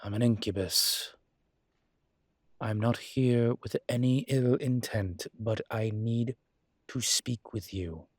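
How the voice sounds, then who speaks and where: A man playing a weary, earnest incubus demon in a dramatic audio roleplay, pleading diplomatically in a measured, halting way with dry asides. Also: medium pitch, husky, no room sound. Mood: cautious, formal.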